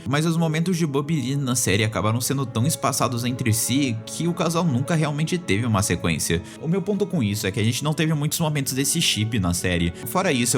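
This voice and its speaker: A Brazilian man narrating a scripted video essay in a casual, opinionated, explanatory way. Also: medium pitch. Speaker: YouTube video essayist on cartoons.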